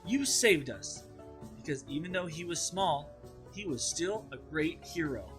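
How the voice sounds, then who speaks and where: A man puts on a young storybook girl, imaginative and stubborn. The pitch sits high and moves eagerly up and down. Music plays underneath. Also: animated, a little slow. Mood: excited, triumphant. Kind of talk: dramatized children's read-aloud.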